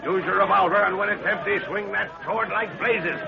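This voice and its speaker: An actor playing a seasoned, commanding professor leading an army, clipped and hearty, in 1930s radio adventure style. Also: male voice, high voice, moderate pace, creaky. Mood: battle-excited. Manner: clipped, hearty, barking orders. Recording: muffled, noisy 1930s radio recording.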